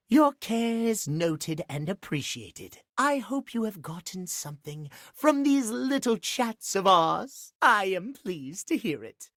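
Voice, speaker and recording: A fussy living pocket watch for a video game. The voice is high and swoops expressively, yet takes its time over every pompous, formal phrase. He is proper and easily affronted. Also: a bit bright, dry recording, clean studio sound, exaggerated voice. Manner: prim, formal, old-fashioned diction. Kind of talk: video game character acting.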